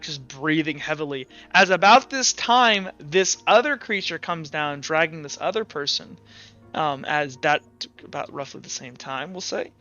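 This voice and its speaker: Bright, higher-voiced man narrating a tabletop game. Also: clear, resonant tone. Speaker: game master. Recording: dull lo-fi audio with background music.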